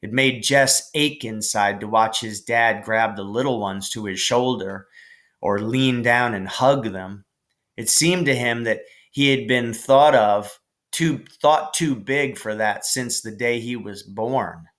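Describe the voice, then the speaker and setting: A man reading a children's novel aloud as scripted narration, in a measured, careful way. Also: mid-range voice, a bit bright. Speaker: audiobook narrator.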